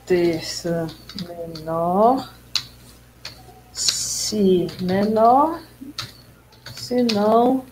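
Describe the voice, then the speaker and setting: Slow-talking woman on a video call. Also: medium pitch, a little mellow, a little hiss or hum, rough recording. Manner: thinks aloud while typing code, hesitant. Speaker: coding dojo participant. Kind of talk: casual pair-programming chat on a video call.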